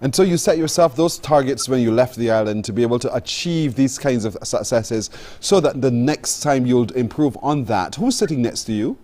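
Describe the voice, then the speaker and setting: A man's voice: medium pitch, animated, normal speed, hardly any pauses, darkish tone. A man hosting a live morning broadcast interview. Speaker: morning TV show host.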